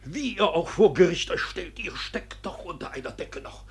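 A furious peasant father with an extremely hoarse, gravelly voice. He scolds and threatens in classic radio drama style. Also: sits high, animated, normal speed, exaggerated voice. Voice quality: raspy, gravelly. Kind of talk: classic German stage drama as radio play.

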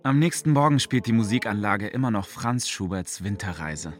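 An actor as an articulate, well-read man in a radio play. His pitch moves around in a lively way as he explains and debates, colloquial and good-natured. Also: normal speed, close to an everyday voice. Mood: calm, explanatory. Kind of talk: radio play narration and dialogue.

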